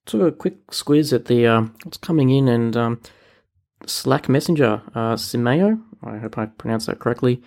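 Easygoing man with a gravelly voice. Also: medium pitch, expressive, moderate pace, darkish tone. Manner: easygoing, chatty, with fillers. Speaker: podcast co-host and interviewer. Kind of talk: casual tech podcast chat.

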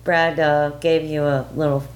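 A woman with a lowish voice chats casually as a caller, often hesitating over her words. The room echoes around her, with faint noise and hum behind. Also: little pitch movement, normal speed. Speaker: podcast listener calling in. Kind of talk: casual chat.

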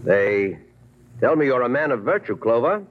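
An actor playing a menacing, mock-polite gangster boss with a wordy, aggrieved manner in a vintage radio crime drama. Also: male voice, medium pitch, sing-song, a little hiss or hum, close to an everyday voice. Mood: coolly menacing. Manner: mock-polite, slow-building threats. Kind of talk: 1940s-50s radio crime acting. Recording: tinny old radio recording.

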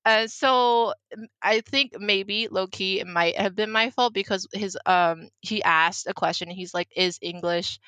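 Bright-voiced young woman telling a story. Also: mid-range voice, measured pace, no echo. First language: Mandarin. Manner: chatty, lots of "like" fillers, acts out quotes. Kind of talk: casual storytelling chat.

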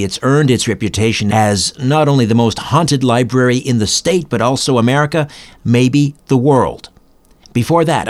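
A man hosting a show, reading scripted introductions and questions in a presenter's style. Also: mid-range voice. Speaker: podcast and radio host. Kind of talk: scripted host narration, ad reading and interviewing.

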